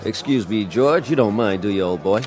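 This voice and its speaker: A man plays a smooth-talking, smug rival in a radio drama. He is animated and condescending in a jovial way, over faint music. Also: on the low side, moderate pace, close to an everyday voice. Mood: smug, seductive.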